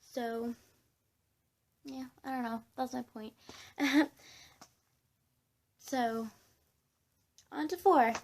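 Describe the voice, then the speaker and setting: A woman's voice: high-pitched, drawn-out words, long pauses, a little mellow. A young woman chatting casually to camera as a vlogger, in a scattered, giggly way, often interrupting herself. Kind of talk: casual rambling chat to camera.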